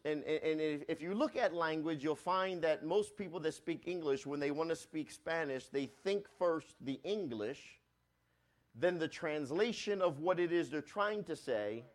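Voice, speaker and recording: Man preaching with rising and falling force. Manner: swells louder on key points and drops low and soft on asides; repeats and restarts phrases while preaching. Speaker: pastor. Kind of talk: sermon.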